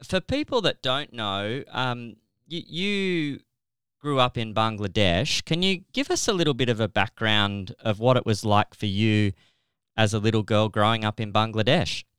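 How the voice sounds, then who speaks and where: A man's voice: fairly low pitch, expressive, normal speed, fairly bright tone, clean tone, no echo, studio quality. A man with an Australian accent hosts a podcast, moving between prepared introductions and conversational interviewing. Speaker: podcast host.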